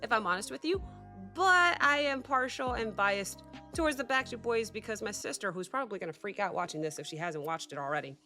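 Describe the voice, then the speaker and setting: Excitable woman host, bright voice, recovering cold, soft music underneath. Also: medium pitch, brisk. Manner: chatty and excitable, rambling with fillers and restarts. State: sick with a cough, recovering voice. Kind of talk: casual chat to camera.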